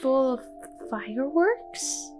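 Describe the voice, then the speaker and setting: A woman's voice: sits high, very animated, slow pace, music in the background. A woman playing a tabletop roleplaying game, chatting casually in and out of her character.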